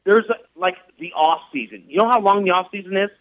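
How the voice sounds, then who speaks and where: A man's voice: high voice, fast, no room sound. A man hosting sports radio talk, emphatic and repetitive, firing off rhetorical questions. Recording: dull radio studio sound, dry.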